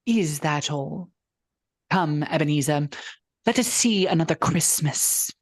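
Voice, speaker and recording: A woman playing a calm, commanding spirit in a radio drama, speaking in a measured, formal and solemn way. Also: low-pitched, dry recording. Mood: grave, probing. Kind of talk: old-time radio drama acting.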